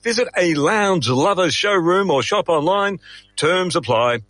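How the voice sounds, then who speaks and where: A man's voice: sits high, expressive, measured pace, fairly bright tone, no echo. An Australian man reading a scripted radio traffic bulletin.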